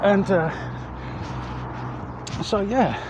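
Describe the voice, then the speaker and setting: Male walking vlogger, southeast English, high-pitched, rambling and hesitant, handheld mic beside noisy roads. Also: animated, very slow. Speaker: vlog host. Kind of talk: casual chat to camera while walking. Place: outdoors walking along roads. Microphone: handheld external microphone. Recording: muffled outdoor street audio, loud traffic noise.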